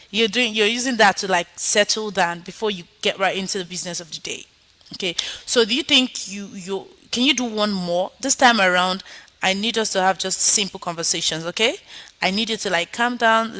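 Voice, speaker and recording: A woman's voice: medium pitch, a bit bright. A woman teaching an online IELTS speaking lesson, coaching students and then running a mock exam. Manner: coaching, encouraging, repeats "okay?". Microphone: video call.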